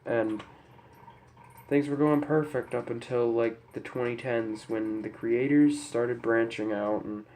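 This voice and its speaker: Young male vlogger, congested with a cold. Subdued, flat delivery, halting and serious, light background noise on a camera mic. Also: mid-range voice, poor audio. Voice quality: stuffy, congested. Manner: somber, hesitant, apologetic. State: head cold. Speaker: YouTuber. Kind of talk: unscripted personal talk to camera. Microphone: camera microphone.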